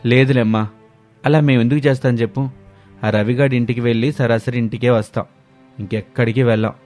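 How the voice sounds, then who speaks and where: A man's voice: mid-range voice, fairly even intonation, fairly quick, soft background music, lightly acted. A man playing a caring, placating young Telugu husband and dutiful son. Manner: gentle, placating.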